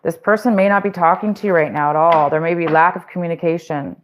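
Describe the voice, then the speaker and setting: A woman's voice: fairly low pitch, fairly even intonation, fast, a little hiss or hum. A woman giving a casual, rambling tarot reading, talking straight to her viewers with fillers and restarts, and saying she is losing her voice. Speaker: tarot reader.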